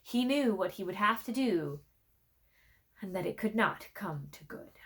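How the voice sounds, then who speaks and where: A woman narrates a fairy tale with a highly animated, medium-pitched voice. She reads theatrically, addressing the listener in a playful, conspiratorial manner. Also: a little slow. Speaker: storyteller reading a book aloud.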